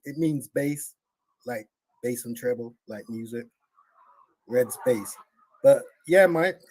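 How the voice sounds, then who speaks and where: A man speaking as a guest on a live stream panel. His voice sits at an ordinary pitch, and he talks earnestly, haltingly, stopping and restarting his sentences. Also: a little mellow, poor audio. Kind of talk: casual livestream chat.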